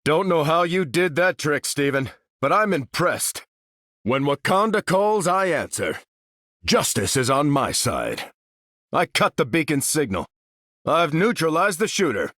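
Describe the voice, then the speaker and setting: An actor playing a bold, determined battlefield hero in a video game, rallying soldiers in a clipped military delivery. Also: male voice, fairly high pitch, very animated, a little slow, fairly bright tone, no echo, clean studio sound, exaggerated voice. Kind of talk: video game character voice lines.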